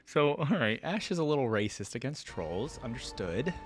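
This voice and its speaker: Reaction host, casual commentary, conversational delivery, pitch around 105 hertz, faint music behind. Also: big pitch swings, normal speed.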